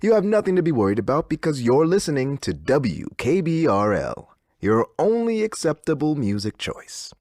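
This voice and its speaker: A theme park radio DJ from a game, cheerful to an unsettling degree. His pitch swings wildly through reassuring, theatrical announcer patter, recorded very dry and close. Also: very animated, moderate pace, few pauses, darkish tone, dry recording. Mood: upbeat, reassuring.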